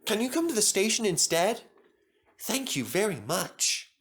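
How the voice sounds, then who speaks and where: A man's voice: high-pitched, big pitch swings, unhurried, a bit bright, voice pushed up, exaggerated voice. A man voicing a polite five-year-old girl's letter in a simple storybook reading. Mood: hopeful.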